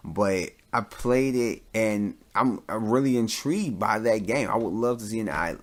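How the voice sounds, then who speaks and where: A man talking casually as a podcast host, giving opinions in an informal, rambling way and often repeating himself. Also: medium pitch.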